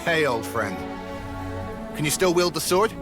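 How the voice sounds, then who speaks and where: An actor voicing an ageless, seasoned male yokai lord in a video game, speaking to an old friend in a measured, familiar way. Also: on the high side, expressive. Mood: friendly, wistful. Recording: loud music under the voice.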